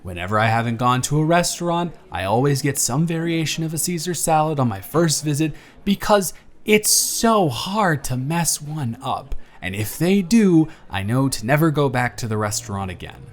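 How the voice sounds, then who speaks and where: A man's voice: on the high side, animated, normal speed, close to an everyday voice. A man playing a charming, teasing young man on a blind date, chatty and playful in a romantic audio roleplay. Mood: teasing, eager.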